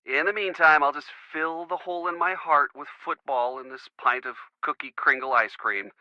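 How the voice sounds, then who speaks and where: Bright, wry young American boyfriend. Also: male voice, fairly high pitch, moderate pace, no echo, muffled recording. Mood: playful. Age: young adult. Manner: wry, playful sarcasm. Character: easygoing, teasing young boyfriend of a sleuth. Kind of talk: naturalistic video game phone dialogue.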